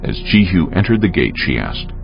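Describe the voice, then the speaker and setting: A man giving solemn, measured, scripted dramatic narration of scripture as a storyteller. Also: low voice, very animated, creaky. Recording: dull audio with music underneath.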